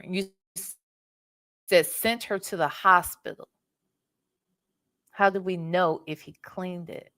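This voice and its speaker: A woman's voice: on the low side, fairly even intonation, slow, pauses often, fairly bright tone. An American woman hosting a casual live reaction show, blunt, sassy and profane, with emphatic rhetorical questions. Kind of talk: casual live commentary with chat.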